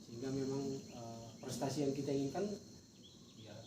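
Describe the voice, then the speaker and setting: A man's voice: mid-range voice, steady tone, moderate pace. A male teacher with a North Sumatran Indonesian accent talks informally in a coaching chat, hesitating and repeating phrases. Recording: distant echoey noisy recording, insect chirps.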